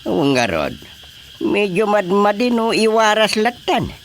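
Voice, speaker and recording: An actor playing an earnest husband in a radio drama comedy, speaking with an Ilocano accent. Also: male voice, sits high, huge pitch swings, a little slow, slight background noise, exaggerated voice. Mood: earnest, eager. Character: husband planning how to help and treat neighbours.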